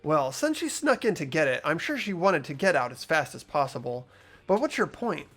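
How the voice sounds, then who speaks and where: Man playing an earnest, high-voiced teenage boy. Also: very animated, moderate pace, fairly bright tone, soft background music. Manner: earnest, questioning. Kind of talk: voiced video game playthrough, dialogue and inner narration.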